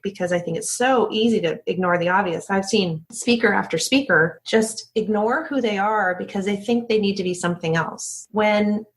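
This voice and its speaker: Candid woman with a lowish voice. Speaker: interview guest, business founder. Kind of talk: candid conversational interview.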